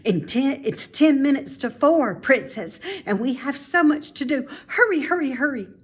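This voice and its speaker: Woman voicing a playful, animated storybook lady. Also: high voice, normal speed, voice pushed up. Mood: urgent, playful. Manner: animated, emphatic, savouring her words. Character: cheerful, playful grown woman who delights in housework make-believe.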